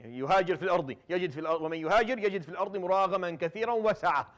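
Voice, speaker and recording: A man's voice: high voice, rapid-fire. A man in his thirties, raised in Syria, giving an informal Quran commentary lecture, talking conversationally with many restarts and swift Arabic recitations. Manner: conversational, frequent restarts and repeated words, drops Arabic fillers.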